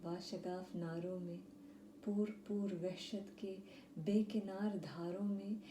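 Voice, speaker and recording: A woman reciting poetry in a dramatic style, with measured, deliberate phrasing and pauses. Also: mid-range voice, fairly even intonation, warm tone. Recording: roomy echo, faint background noise.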